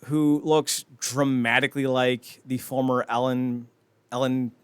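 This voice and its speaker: A man's voice: medium pitch, flat delivery, measured pace, clear, resonant tone, no echo. A man co-hosting a casual pop-culture podcast, rambling and swearing freely as he chats. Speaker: podcast co-host.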